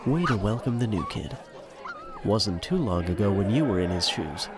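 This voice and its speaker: A man's voice: on the low side, animated, moderate pace, crowd noise, close to an everyday voice. A man narrating a scripted audio drama in a wry, teasing manner.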